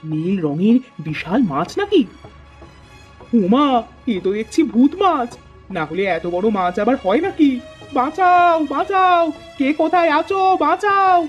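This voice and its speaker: A woman playing a tender-hearted, excitable farmer's wife in a cartoon, with expressive exclamations. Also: sits high, lo-fi sound. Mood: surprised, then panicked. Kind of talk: cartoon voice acting.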